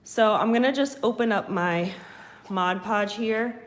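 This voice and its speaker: Woman with a cold, sniffly, unhurried delivery, live craft tutorial, phone recording over a table. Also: mid-range voice. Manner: slow, chatty, talks to viewers while working. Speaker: craft channel host. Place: home craft table, indoors.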